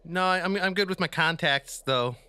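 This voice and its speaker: A man playing an anxious, soft-hearted cyberpunk squad member in a tabletop game, stammering and apologetic as he speaks. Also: high-pitched, normal speed, smooth, no echo. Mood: flustered. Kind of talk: improvised tabletop role-play.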